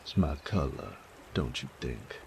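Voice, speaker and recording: Man playing a very deep, gravelly bandit. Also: sing-song, very noisy, full character voice. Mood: teasing. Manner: slow, taunting drawl with folksy, profane phrasing. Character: sadistic male bandit, cocky, teasing, menacing rogue. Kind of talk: intimate roleplay audio drama.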